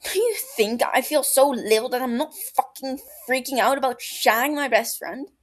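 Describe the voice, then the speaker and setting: A woman voicing an agitated, defensive young man in an audiobook, halting, heated and sharp. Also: sits high, lively intonation, voice pushed up. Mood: angry, anguished.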